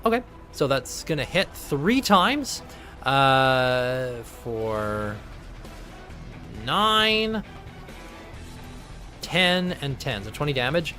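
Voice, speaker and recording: A man's voice: medium pitch, sing-song, very slow, pauses often, sharp tone, faint music behind. A man acting as game master in a live tabletop game, narrating turns in a chatty way and thinking rules through aloud. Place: home streaming setup.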